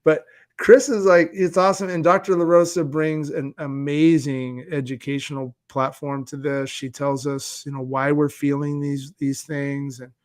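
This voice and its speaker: A man with a fairly high voice speaks as a podcast guest. His delivery is earnest and motivational, plain-spoken and emphatic, stretching some phrases and hurrying others. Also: little pitch movement, moderate pace. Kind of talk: earnest motivational interview talk.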